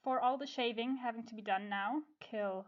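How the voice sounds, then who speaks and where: A woman doing casual improvised comic role-play with a deadpan, bawdy manner. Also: on the high side, moderate pace, slight background noise. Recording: dull, lo-fi podcast sound.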